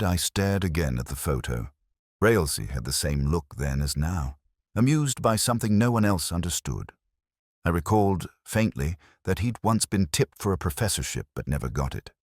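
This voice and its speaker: A brooding, cerebral detective voiced by a man recounts his case in an extremely low voice. The delivery is measured and reflective, yet the pitch moves expressively through each phrase. The sound is dry and close, suited to a scripted audio mystery. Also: expressive, a little mellow, no echo. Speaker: narrator. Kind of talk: scripted first-person detective story narration.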